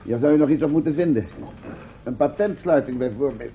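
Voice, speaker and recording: An actor playing a sceptical, matter-of-fact companion with a dry, mildly sarcastic manner in a radio play. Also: male voice, mid-range voice, fairly quick, natural voice. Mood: matter-of-fact, dismissive. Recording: muffled old radio recording, faint hiss.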